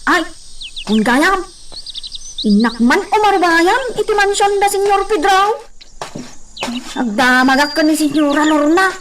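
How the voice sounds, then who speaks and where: A woman's voice: very high-pitched, expressive, unhurried, long pauses, a bit bright, heavily acted. A woman with an Ilocano accent playing a chatty, inquisitive village woman in a radio drama, singsong and exclamatory. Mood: puzzled, chatty. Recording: distant mic, clearly noisy background.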